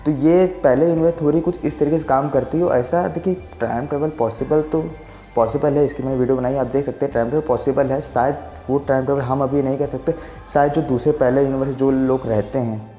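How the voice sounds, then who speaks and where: Mellow-voiced young man explaining, echoey room. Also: medium pitch, steady tone, brisk, soft background music. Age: 20s. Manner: rambling, repeats filler checks for agreement. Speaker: science explainer channel host. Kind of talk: casual explainer talk to camera.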